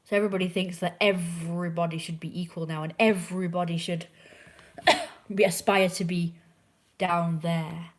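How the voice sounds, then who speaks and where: Hoarse young English woman chatting. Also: on the low side, steady tone, moderate pace. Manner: opinionated, chatty, drifts into hushed asides. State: hoarse sore throat. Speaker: livestreamer chatting with a viewer. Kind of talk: casual rambling chat. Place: indoors at home, curled in a chair.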